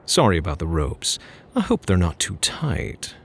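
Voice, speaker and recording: Casting: male actor for playful, smug gentleman thief, ASMR roleplay; gravelly, very animated delivery, teasing, flirty, mock-courteous. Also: low voice, a little slow, a little hiss or hum, heavily acted. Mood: smug, mock-caring. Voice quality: husky, gravelly. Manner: teasing, mock-polite, theatrical.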